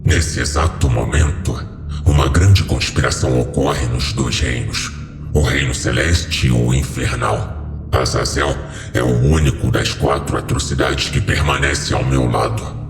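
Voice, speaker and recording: A man voicing an ancient fallen angel, solemn and grandiose, in a slow audio horror story delivery with cavernous reverb. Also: steady tone, gravelly, exaggerated voice. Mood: grave, conspiratorial. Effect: cavernous echo and reverb on a lowered voice. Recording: echoing hall reverb over music.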